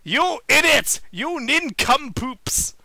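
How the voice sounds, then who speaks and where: A man voicing a bossy, ranting cartoon mad-scientist villain in a loud, melodramatic manner. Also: very high voice, very animated, slow pace, gravelly, no room sound, voice pushed up, full character voice. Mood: furious.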